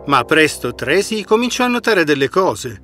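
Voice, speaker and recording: A man with a fairly high, animated voice narrates a fairy tale. His delivery is expressive and scripted, with clear music playing behind him. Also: moderate pace. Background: background music.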